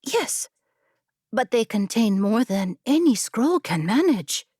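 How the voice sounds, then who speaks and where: A woman voicing a quick-witted female scholar bargaining earnestly and persuasively in a fantasy audiobook. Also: on the high side, big pitch swings, dark tone, breathy edge, dry recording, clean studio sound, heavily acted. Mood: eager. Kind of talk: fantasy audiobook character acting.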